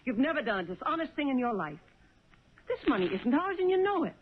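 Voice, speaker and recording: Firm, animated radio-drama wife. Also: female voice, high-pitched, quick pace, breathy edge, exaggerated voice. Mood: scolding, firm. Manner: scolding, moralizing, earnest. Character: honest, firm, worried cab driver's wife. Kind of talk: 1970s radio drama acting. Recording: noisy narrow-band old radio broadcast.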